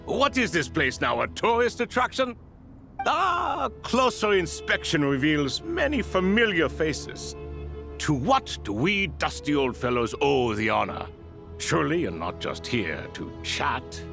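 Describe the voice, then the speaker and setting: Old spirit lord, game character, creaky, swooping intonation, theatrical, wry, chatty. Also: male voice, a little high, sing-song, a little slow, over music, full character voice. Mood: amused, curious. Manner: theatrical, old-fashioned politeness. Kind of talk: video game character acting.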